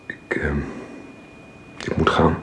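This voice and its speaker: An actor playing a middle-aged father in a radio drama, halting, formal and earnest as he speaks. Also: noisy background, natural voice. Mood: hesitant, subdued. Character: grateful, awkward father taking leave, reserved and earnest. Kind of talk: naturalistic radio drama acting. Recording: slightly dated radio drama recording.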